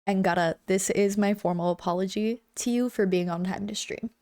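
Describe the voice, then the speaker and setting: A woman's voice: mid-range voice, fairly even intonation, normal speed, darkish tone. Bubbly young woman chatting to her stream, kinda stuffy nose, lots of likes and ums, desk mic at home. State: a bit congested. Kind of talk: casual chat with live chat.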